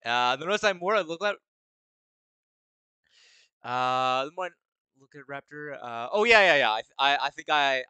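A man with a deep voice chatting casually on a live game stream, rambling and stop-start, laughing often. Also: pushed and bright, clean tone, no echo. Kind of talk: casual live-stream chat while gaming.

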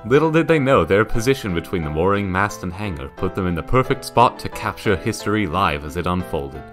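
Low voiced guy narrating history, bit of music underneath. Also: lively intonation, fairly quick, fairly bright tone. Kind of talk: scripted documentary narration.

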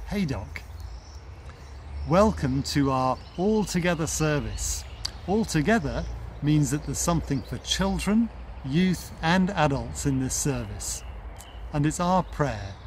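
A man in his fifties speaking to camera in a measured way as a church lay reader, recorded outdoors in a garden. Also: fairly high pitch. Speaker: lay reader leading an online church service. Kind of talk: welcome, notices and spoken prayer to camera. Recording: outdoor ambient noise.

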